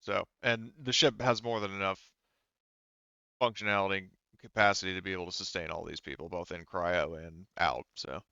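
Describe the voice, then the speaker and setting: A man's voice: low-pitched, fairly even intonation, brisk, gravelly, bright, forceful tone, clean tone, dry recording, highs cut off. A man acting as game master in a casual tabletop roleplaying session, explaining and thinking aloud.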